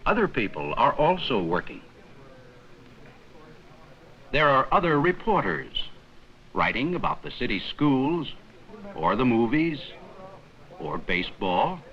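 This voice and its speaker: A man reading scripted 1950s educational film narration in a measured, explanatory manner with simple, plain sentences. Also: mid-range voice, wildly swooping pitch, lo-fi sound. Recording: worn, noisy 1950s 16mm film soundtrack.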